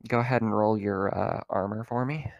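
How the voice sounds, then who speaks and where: Guy running a tabletop game, low gravelly voice, pretty informal, explains stuff as he goes. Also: steady tone, normal speed, no echo, highs cut off. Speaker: game master. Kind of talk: casual tabletop roleplaying game session.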